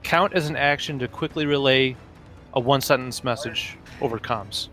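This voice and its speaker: A man playing a tabletop game, deliberately thinking his moves through out loud in casual talk. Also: medium pitch. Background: music.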